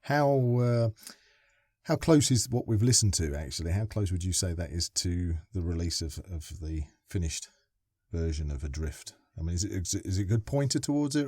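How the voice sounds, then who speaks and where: A man's voice: deep voice, animated, a little fast, a little mellow, no echo, studio quality. A man hosting a casual podcast interview, asking long, winding questions with many restarts. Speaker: podcast host.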